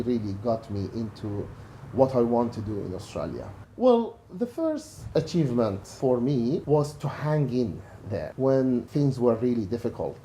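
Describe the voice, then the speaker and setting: Middle-aged man, mellow tone, reflective interview testimony, calm and sincere. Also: mid-range voice, a little hiss or hum, poor audio. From Iraq. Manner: calm, measured, earnest.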